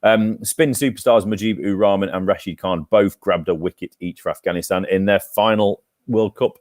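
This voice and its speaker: Low-voiced man recapping cricket. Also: steady tone, moderate pace, darkish tone, no room sound, clean studio sound. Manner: chatty, teasing, reels off stats. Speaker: podcast co-host. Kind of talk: casual cricket chat and match recap.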